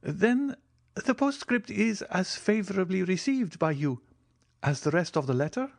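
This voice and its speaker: A man's voice: high voice, lively intonation, a little slow, gravelly, no echo, studio quality, pitched above the performer's own voice, exaggerated voice. A man voicing a timid, eager old Italian ex-major who fawns and exclaims. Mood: eager, fawning. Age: older.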